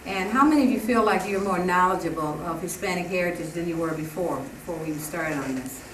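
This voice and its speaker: A woman's voice: fairly low pitch, fast talker, a bit bright. A woman teacher leading a classroom discussion, firm and directive, prompting students with questions. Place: school classroom. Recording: echoey classroom, from far off, faint hiss.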